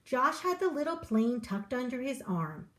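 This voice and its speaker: A woman reading a children's picture book aloud, with an expressive storyteller delivery. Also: high voice, normal speed.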